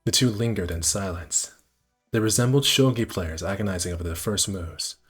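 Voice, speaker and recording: A man's voice: low voice, moderate pace, breathy edge, soft background music. A man reading a visual novel aloud on a stream with casual commentary, sometimes stumbling over words. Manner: stumbles over words and rereads phrases while narrating. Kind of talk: amateur read-aloud narration with casual commentary.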